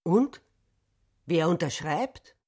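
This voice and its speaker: Radio play: female questioning narrator, breathy-rough voice, dry close studio sound. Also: on the low side, animated, very slow, warm tone, no echo, heavily acted.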